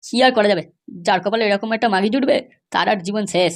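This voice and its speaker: A woman's voice: mid-range voice, quick pace, lightly acted. A woman voicing a crude, loyal male henchman in a comedy cartoon, gossipy and reassuring. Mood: gossipy, dismissive.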